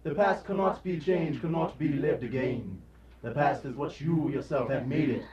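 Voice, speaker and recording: A man's voice: a little high, normal speed, crowd noise, close to an everyday voice. Solemn male spirit, played by a man, declamatory and stern, dull far-off live recording for radio drama. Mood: stern, commanding. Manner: declamatory, commanding, formal. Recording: dull, echoey, distant, loud audience noise.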